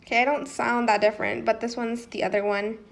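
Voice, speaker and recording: A woman's voice: on the high side, flat delivery, quick pace, vocal fry. A woman presenting a casual how-to tutorial in an informal, slightly hesitant way, as if thinking aloud.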